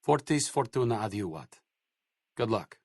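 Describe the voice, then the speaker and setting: A man's voice: fairly low pitch, expressive, moderate pace, gravelly, breathy edge, no echo, full character voice. An actor playing a dry-witted, idealistic but weary male doctor in a video game, speaking in a wry, sardonic way.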